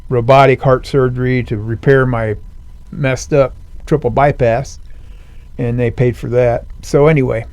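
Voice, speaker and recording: An older man speaking as an interview guest, telling his own story in a plainspoken, rambling way full of fillers, his voice high and strained since surgery. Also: steady tone, a little slow, darkish tone, no room sound. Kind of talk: conversational personal story. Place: veteran center studio.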